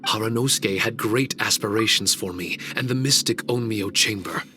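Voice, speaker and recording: An actor playing a solemn, wistful male shikigami servant in a game cutscene, speaking in a slow, measured, reflective way. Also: on the low side, sharp tone, a little hiss or hum.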